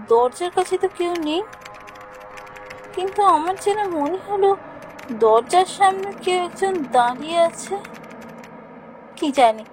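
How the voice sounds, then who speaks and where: Anxious young office girl, voiced by a woman, narrating a cartoon haunting: high, swooping, dramatic, light music underneath. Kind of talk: first-person cartoon ghost story narration.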